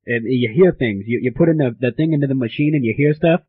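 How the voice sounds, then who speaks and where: A man's voice: medium pitch, fast, breathy edge, no echo, close to an everyday voice. Man doing a rambling, indignant dad on a tinny phone. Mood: puzzled complaining. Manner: rambling, stammering, repetitive, easily offended. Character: indignant, clueless father calling game support to complain.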